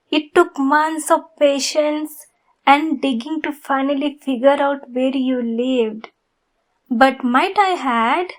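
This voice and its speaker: Woman acting a slow, high-voiced, obsessed yandere girl. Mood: sweetly possessive.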